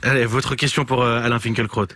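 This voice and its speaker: A man hosting a radio call-in show, introducing callers in a brisk, polite way with fillers. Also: mid-range voice, flat delivery, noisy background. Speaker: radio host. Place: radio studio.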